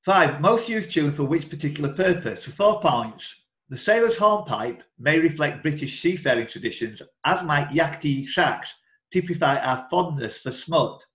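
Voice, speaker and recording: Echoey, higher-pitched man hosting a quiz. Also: lively intonation, moderate pace, fairly bright tone. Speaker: quiz host and question setter. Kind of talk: reading quiz questions, informal banter. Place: indoors, on a group video call. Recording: echoey room over video call.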